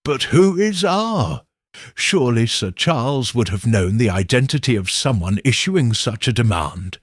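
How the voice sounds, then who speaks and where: A man's voice: mid-range voice, sing-song, measured pace, no echo, studio quality. A man voicing an earnest detective's sidekick in an audiobook mystery, puzzled and eagerly questioning.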